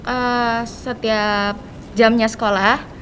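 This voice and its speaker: A woman's voice: level tone, very slow, fairly bright tone, low-quality recording. A teenage young woman, giving casual answers as a student guest on a podcast. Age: teens. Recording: rumbly background noise.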